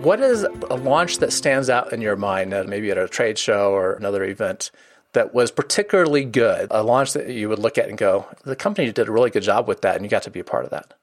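This is a man with a fairly low voice who hosts an interview podcast. He speaks fast and articulately, steering the conversation with steady questions. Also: faint music behind. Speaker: podcast host.

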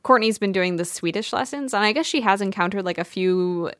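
Female panelist, casual chat, crisp voice, very dry close recording. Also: medium pitch, brisk, a bit bright, no echo. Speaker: podcast panelist, games writer. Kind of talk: casual conversational podcast talk.

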